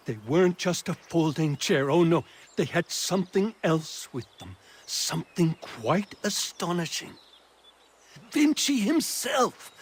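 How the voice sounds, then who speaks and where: An excitable colonial inventor from a video game. His voice is high and husky, swinging widely as he proudly stretches out his phrases with theatrical relish. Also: heavily acted. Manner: theatrical, emphatic, drawn-out phrases. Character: excitable, boastful colonial inventor, adult man. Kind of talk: video game character acting.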